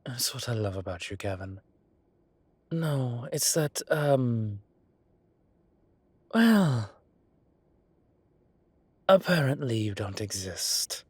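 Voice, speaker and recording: A man's voice: medium pitch, big pitch swings, slow pace, pauses often, darkish tone, slightly rough, no room sound, exaggerated voice. A man playing an urbane, playful boss in a roleplay audio drama, with arch, refined wording and a fond, theatrical manner. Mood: fond, hesitant.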